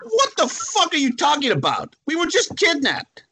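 A man's voice: very high-pitched, wildly swooping pitch, moderate pace, sharp tone, slight background noise, full character voice. An actor playing a panicking kidnapped man in a drama read-through, stammering, frantic and repeating himself. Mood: panicked. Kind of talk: script read-through acting.

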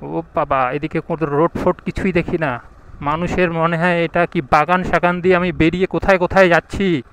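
A man's voice: a little high, fairly even intonation, fairly quick, noisy background. A young man, narrating a casual motovlog while riding outdoors, thinking aloud and asking people for directions. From India. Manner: chatty, thinks aloud, asks passersby for directions. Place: outdoors on village roads, riding a motorbike. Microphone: action camera mic.

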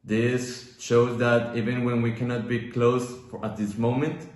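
A young man from Mexico records an earnest message in a room, pausing with fillers. His voice sits at mid pitch. The room adds echo. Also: little pitch movement. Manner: earnest, some fillers and restarts. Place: indoor room.

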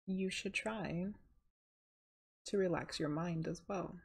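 A woman leading a guided meditation in a calm, soothing, unhurried manner, with a soft, breathy voice. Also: fairly low pitch.

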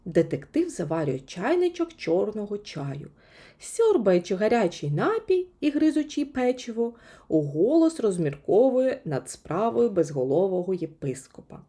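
A woman reading a children's story as an expressive audiobook narrator. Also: mid-range voice, moderate pace.

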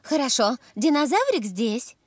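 A woman's voice: high voice, big pitch swings, unhurried, breathy edge, no echo, exaggerated voice. A woman voicing a caring mother animal who gives simple instructions in a dubbed preschool cartoon. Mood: caring, urging.

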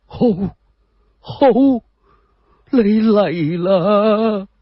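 A man voicing a frail, sorrowful old sage in Cantonese for a radio drama, drawn-out and halting. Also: high-pitched, no echo, dull audio, pitched above the performer's own voice, heavily acted. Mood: weary, plaintive. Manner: drawn-out, halting, repeating words.

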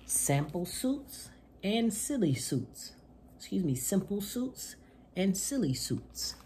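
Expressive man, lively storytime voice. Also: sits high, slow pace, a little hiss or hum. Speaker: children's storytime host. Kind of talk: read-aloud children's picture book. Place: small indoor room at home.

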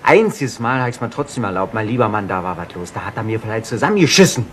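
An actor playing a defiant, slangy career criminal under questioning in a radio crime drama. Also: male voice, fairly low pitch, big pitch swings, fast, fairly bright tone. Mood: indignant. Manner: slangy, insolent, self-justifying. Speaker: arrested suspect. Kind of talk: 1970s German radio crime drama acting. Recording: noisy 1970s radio play recording.

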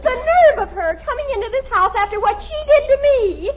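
An actress playing a melodramatic, indignant teenage American daughter in an old radio sitcom. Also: female voice, very high-pitched, animated, normal speed, some chatter behind. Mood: indignant, accusing. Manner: accusing, melodramatic. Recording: muffled, noisy vintage radio recording.

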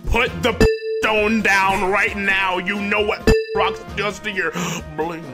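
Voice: mid-range voice, flat delivery, unhurried, close to an everyday voice. An actor voicing a petty, ranting male mad-scientist villain in a comedy game fandub, bombastic and threatening, breaking into whiny outbursts. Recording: game music under the voice.

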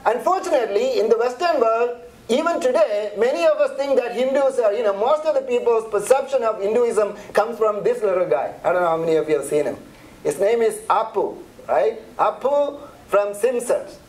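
A man's voice: very high voice, lively intonation, moderate pace, darkish tone, low-quality recording. A middle-aged man from India with an Indian accent, presenting a church seminar in a chatty, conversational way with frequent restarts.

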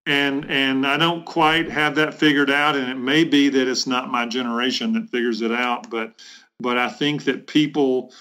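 A middle-aged man, a bishop answering interview questions in a reflective, halting way with measured phrasing. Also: mid-range voice, fairly even intonation, pushed and bright. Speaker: bishop, podcast guest. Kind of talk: thoughtful interview conversation.

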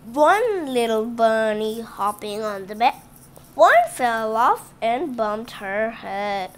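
Female read-aloud host, high and bouncy pitch, unhurried, halting reading with chatty asides; phone mic over a tabletop at home. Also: big pitch swings. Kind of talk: reading a picture book aloud to children. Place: indoors at home, book on a table.

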